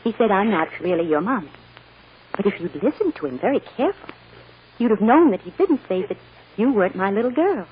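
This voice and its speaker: A woman's voice: mid-range voice, sing-song, brisk, close to an everyday voice. An actress playing a loving, reassuring American mother soothing her young daughter in an old-time radio drama. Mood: tender, soothing. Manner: tender, reassuring, emotionally earnest. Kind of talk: 1940s-50s American radio drama acting. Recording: dull, noisy old radio recording.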